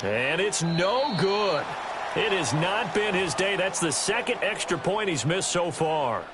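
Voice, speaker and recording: A man calling play-by-play football in a brisk, crisp game-commentary style. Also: high voice, lively intonation, noisy background. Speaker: play-by-play announcer. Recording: studio voice with game music behind. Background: game music.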